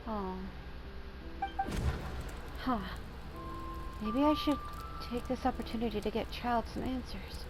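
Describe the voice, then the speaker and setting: A woman with a high voice commentates a game playthrough and reads dialogue aloud. Game music is clearly audible behind her. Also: slow pace, pauses often. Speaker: game streamer.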